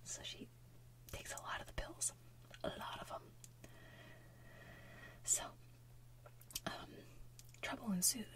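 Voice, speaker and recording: A woman's voice: slow pace, long pauses, far from the mic. Whispering young woman retelling a story. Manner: casual, whispered retelling with fillers.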